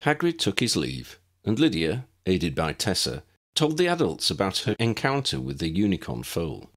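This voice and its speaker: A man's voice: low-pitched, huge pitch swings, normal speed. A man reading a scripted story as an audiobook narrator.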